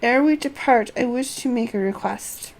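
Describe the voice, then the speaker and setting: A woman's voice: a little high, moderate pace, pitched above the performer's own voice, natural voice, poor audio. A woman voicing a self-assured young noblewoman from a fantasy game, probing and formal in archaic phrases. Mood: probing, assertive.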